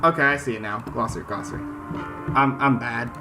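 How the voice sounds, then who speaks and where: A man's voice: medium pitch, normal speed. A man hosting a gaming video, chatting casually and reading game text aloud. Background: game soundtrack music.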